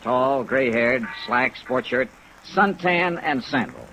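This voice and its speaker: Hard-boiled radio investigator, wry, clipped, lively low-leaning pitch, noisy vintage recording. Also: male voice, slow pace, gravelly, heavily acted. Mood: dry, matter-of-fact. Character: wry, patient male insurance investigator narrating his case. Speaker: lead actor, narrator. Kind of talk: 1950s radio detective acting.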